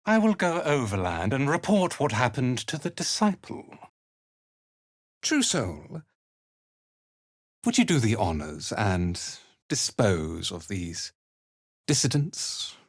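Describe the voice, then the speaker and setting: An actor playing a cold, condescending cult commander in a video game, speaking in a formal, measured way. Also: medium pitch, very animated, no echo, clean studio sound, heavily acted. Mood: measured, commanding. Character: haughty, cold cult commander, disdainful slave-driver and zealot. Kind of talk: video game character dialogue.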